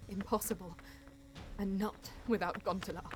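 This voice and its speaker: A woman's voice: mid-range voice, fairly even intonation, normal speed, noisy background, natural voice. A woman playing a determined alien artisan who narrates her desperate fight tensely and dramatically in a sci-fi audio drama. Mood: grim, resolute.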